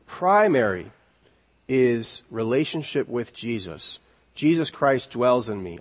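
A man preaching an expository sermon in an earnest, deliberate way, repeating key words for emphasis. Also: medium pitch. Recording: long reverberant hall echo.

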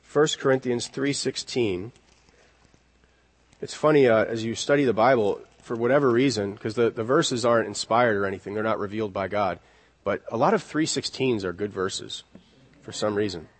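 A man preaching an expository sermon in an earnest, deliberate way, repeating key words for emphasis. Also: lowish voice. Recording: long reverberant hall echo.

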